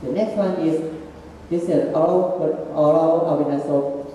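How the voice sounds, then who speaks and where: A man walks an audience through his slides in halting, non-native English, and his grammar often breaks down. His voice is dark and mellow. He is picked up from a distance in a big, echoing hall. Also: a little high, steady tone, a little hiss or hum. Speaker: student presenter. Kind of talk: slide presentation. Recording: echoey hall, far from mic.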